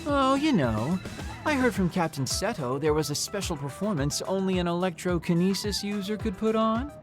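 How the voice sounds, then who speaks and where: Woman as a teasing, animated young character. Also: on the low side, normal speed, natural voice. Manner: casual, teasing. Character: teasing, upbeat, sharp-tongued young party-goer. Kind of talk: anime-style game voice acting. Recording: music behind the voice.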